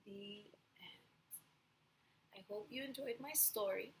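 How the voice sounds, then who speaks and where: A woman teacher of young children reading a storybook aloud in an expressive, deliberate way. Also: medium pitch, a little hiss or hum, rough recording. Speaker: pre-kindergarten teacher.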